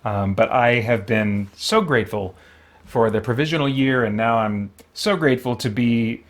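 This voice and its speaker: A man hosting a livestream in a casual, rambling, thoughtful way, often pausing mid-sentence. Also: mid-range voice. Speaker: livestream host.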